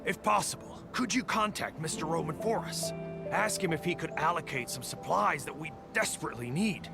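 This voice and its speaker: A man voice-acting a passionate, principled survivor in a video game, with a gravelly voice over music. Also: on the high side, expressive, fairly quick. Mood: thoughtful, pleading. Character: passionate, principled male survivor rallying his comrades. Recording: music behind the voice.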